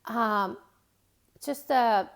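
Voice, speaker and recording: A woman's voice: fairly high pitch, very slow, clear, resonant tone. A woman from Finland with a Finnish accent, her native tongue being Finnish, giving a reflective motivational talk with frequent fillers and restarts.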